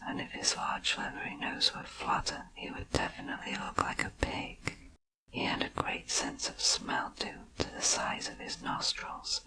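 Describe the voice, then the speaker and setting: A woman narrating a bedtime story in a gentle, soothing ASMR whisper. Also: very deep voice, vocal fry, rough recording.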